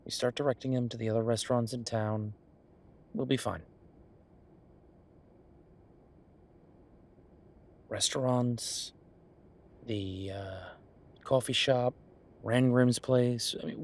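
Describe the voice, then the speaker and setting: A man playing an affectionate, teasing chef boyfriend in an intimate roleplay audio, talking in a conversational, reassuring way with a husky voice. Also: mid-range voice, moderate pace, pauses often, lightly acted. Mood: reassuring, practical. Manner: conversational, reassuring, playfully bantering. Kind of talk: intimate one-sided boyfriend roleplay acting.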